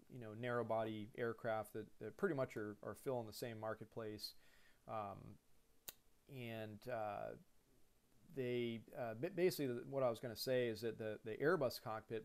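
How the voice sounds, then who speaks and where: This is a man in his thirties with a gravelly, mid-pitched voice, explaining things like a pilot showing around his workplace. He speaks casually, with lots of ums and restarts, recorded indoors at a desk computer. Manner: relaxed and conversational, heavy with fillers and restarts. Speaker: airline pilot giving a cockpit tour. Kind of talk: casual screen-share lesson.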